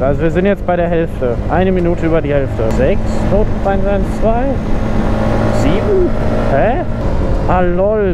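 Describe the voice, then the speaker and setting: Young male motovlogger, slightly high voice, chatty and exasperated, helmet mic with road noise. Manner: chatty, slangy, exasperated muttering while riding. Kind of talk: casual motorcycle vlog commentary. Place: outdoors on a motorcycle in city traffic. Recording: road and engine noise behind. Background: traffic and engine noise.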